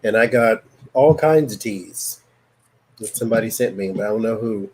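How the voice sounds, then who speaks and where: Husky-voiced live stream host. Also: male voice, on the low side, normal speed, darkish tone. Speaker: host and psychic reader. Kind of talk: casual live stream chat.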